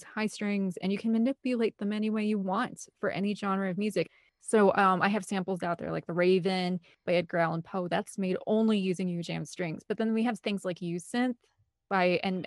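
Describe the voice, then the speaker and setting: A woman's voice: fairly low pitch, no room sound. Chatty, enthusiastic woman, dry close sound. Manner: talkative, gushing, rambling. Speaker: interview guest, film composer and director. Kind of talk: casual enthusiastic chat.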